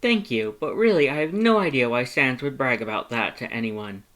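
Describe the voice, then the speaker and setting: A man's voice: medium pitch, expressive, normal speed, a bit bright, natural voice. A man voicing a very tall, cheerful, polite male skeleton with a formal, exuberant, declamatory delivery in a fan fiction story. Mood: proud, chatty.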